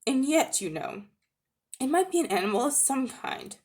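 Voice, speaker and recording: A woman's voice: high-pitched, big pitch swings, darkish tone, voice pushed up. Genteel, musing lady elephant, voiced by a woman. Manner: deliberate, musing, polite. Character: genteel, thoughtful female talking elephant, mildly self-important.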